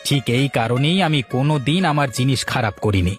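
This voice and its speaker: A man's voice: medium pitch, fairly quick, faint music behind, close to an everyday voice. A man voicing a proud, honest, blunt village food-stall owner in a moral cartoon, speaking in a self-assured way. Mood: proud, sincere. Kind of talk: Bengali moral cartoon acting.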